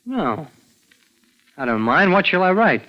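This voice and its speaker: A man's voice: sits high, very animated, measured pace, pushed and bright, exaggerated voice, low-quality recording. A young man playing a nervous, evasive teenage boy who narrates his story in 1940s radio drama style, earnest and boyish with a hesitant delivery. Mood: guarded. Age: young adult. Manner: boyish, earnest, hesitant stammering under pressure. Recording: vintage 1940s radio recording with music.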